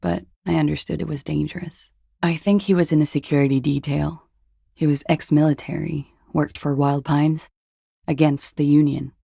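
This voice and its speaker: Low, gravelly, jaded young party girl. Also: steady tone, normal speed, warm tone, no room sound, studio quality, heavily acted. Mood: guarded, flat. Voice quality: husky, gravelly with vocal fry. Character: jaded young woman, hard-partying disco dancer, evasive. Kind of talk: video game voice acting, intimate dialogue.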